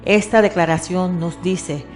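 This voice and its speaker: A woman's voice: lowish voice, steady tone, measured pace, darkish tone. Earnest woman host over background music. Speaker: host and interviewer. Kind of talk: scripted intro then interview questions. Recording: music playing behind the voice.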